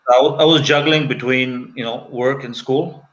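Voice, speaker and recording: A man's voice: medium pitch, fairly even intonation, moderate pace, a bit bright. A man in his fifties from Kuwait, telling his own story conversationally as an interview guest. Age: 50s. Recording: slightly dull video call.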